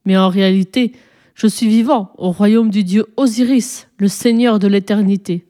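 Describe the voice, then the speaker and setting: An ancient, imperious pharaoh played by a woman reader holds forth from a storybook. The delivery is pompous and solemn, declaimed with majesty in a mellow tone. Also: mid-range voice, steady tone, normal speed, natural voice. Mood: solemn, lecturing. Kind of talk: storybook reading, character dialogue.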